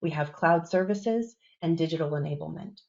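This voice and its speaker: A woman with a low, mellow voice presents her company and welcomes the panelists, polished and upbeat, leaning on her key words. The recording is slightly dull and lo-fi. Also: moderate pace, vocal fry. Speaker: panel moderator. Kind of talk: corporate presentation and moderation.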